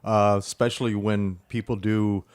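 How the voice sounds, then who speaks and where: Low-voiced man, halting interviewee. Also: flat delivery, a little mellow, clear, resonant tone, dry recording. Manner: halting, measured explanations. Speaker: stage actor being interviewed. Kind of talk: casual interview answers.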